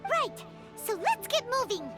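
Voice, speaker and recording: A tiny floating fairy companion from a video game, extremely high and squeaky. The childlike little creature is excitable and exclamatory, swooping wildly in pitch over loud background music. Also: female voice, measured pace, exaggerated voice. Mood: eager. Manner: exclamatory, refers to self by name.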